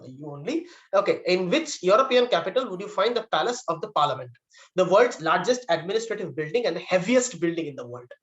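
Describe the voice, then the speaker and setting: A man's voice: sits high, big pitch swings, fairly quick, fairly bright tone, muffled recording. A man acting as quiz master, reading out trivia questions and giving verdicts on answers.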